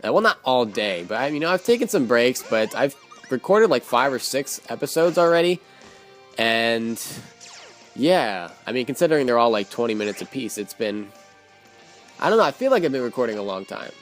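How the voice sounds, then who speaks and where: A man's voice: medium pitch, very animated, moderate pace. Tiring young man narrating his gameplay. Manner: rambling, self-deprecating asides. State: voice getting tired and hoarse. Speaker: let's play commentator. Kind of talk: casual gameplay commentary. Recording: faint game music behind.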